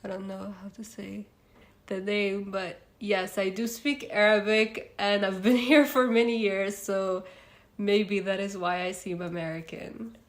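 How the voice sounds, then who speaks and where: A chatty young woman from Egypt hosts a casual self-help livestream in a room with a noticeable echo. Her pitch sits at an ordinary middle level, and her talk is loose and conversational, full of fillers and restarts. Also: steady tone, unhurried. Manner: chatty, reads out viewer comments, frequent fillers. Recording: roomy echo.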